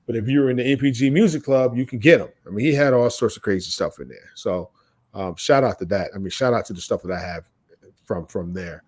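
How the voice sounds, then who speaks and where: A man's voice: lowish voice, a little fast, darkish tone. A man hosting a podcast, talking casually and enthusiastically about music in emphatic, repetitive, slangy phrases. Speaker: podcast host.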